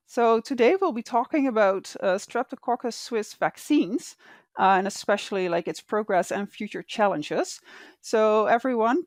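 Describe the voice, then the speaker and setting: A woman's voice: medium pitch, no room sound, clean studio sound. A young woman hosting a podcast, reading her intro and questions in a friendly way with "um" and "like" fillers. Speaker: podcast host.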